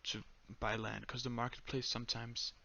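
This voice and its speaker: A young man with a Danish accent giving a step-by-step screen-recorded tutorial in a flat, monotone voice full of "uh"s and "um"s. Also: medium pitch, normal speed, vocal fry, highs cut off, slight background noise. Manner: monotone, many fillers. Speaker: tutorial creator.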